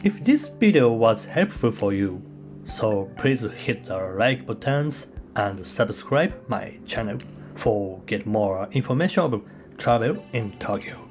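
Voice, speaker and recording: A man narrating a travel vlog in English that is not his first language, pausing with fillers and halting phrasing. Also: on the low side, very animated, a little mellow, faint music behind. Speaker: travel vlogger. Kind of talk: voice-over travel guide.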